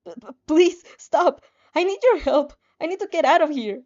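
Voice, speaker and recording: A woman's voice: high-pitched, animated, normal speed, darkish tone, voice pushed up. A woman voicing a frightened young female captive in a story, pleading desperately for help in a stammering cry. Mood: frantic, desperate. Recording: dull, slightly muffled lo-fi audio.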